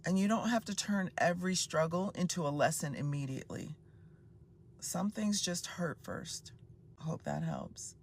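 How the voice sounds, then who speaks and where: A speaker in their fifties, heard in a played clip, delivering a steady monologue of short life maxims. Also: medium pitch, a little slow, slightly rough. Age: 50s. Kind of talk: measured advice monologue.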